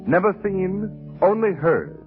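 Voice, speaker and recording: A man's voice: sits high, big pitch swings, takes it slowly. A man giving dramatic scripted narration in 1930s radio style. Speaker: series narrator. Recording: tinny old radio recording with music.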